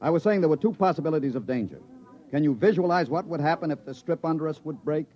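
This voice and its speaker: An actor playing a brisk, confident middle-aged chief engineer in an old-time radio drama, businesslike and authoritative. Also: male voice, a little high, close to an everyday voice. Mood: earnest, assured. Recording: dull vintage radio recording.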